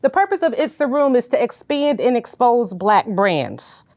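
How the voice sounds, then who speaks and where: Loud connecticut lady pitching her shop, high voice, dry close sound, keeps saying y'all. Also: big pitch swings, moderate pace, dry recording. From Hartford, Connecticut. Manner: loud, emphatic, addresses listeners as y'all. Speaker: business owner. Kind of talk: spoken business pitch.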